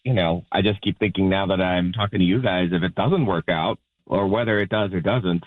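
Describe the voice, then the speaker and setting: A man's voice: low voice, brisk, no echo, phone-quality sound. A man calling in to a radio dating segment, eager and joking about his voice. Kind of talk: casual phone chat.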